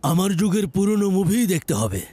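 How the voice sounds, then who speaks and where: A man voicing a nostalgic middle-aged uncle in a cartoon, genial and lively. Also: sits high, brisk, music in the background.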